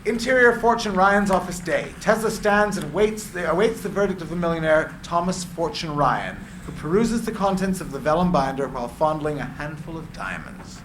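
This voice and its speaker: A man's voice: a little high, lively intonation, normal speed, hardly any pauses, reverberant, noisy background. A man reading screenplay narration and stage directions at a live script reading.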